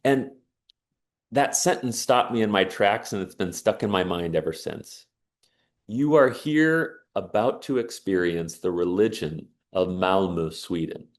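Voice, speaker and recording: Man, low-pitched voice, earnest and emphatic, inspirational talk with stories. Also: animated, a little slow. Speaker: speaker on sauna and resilience.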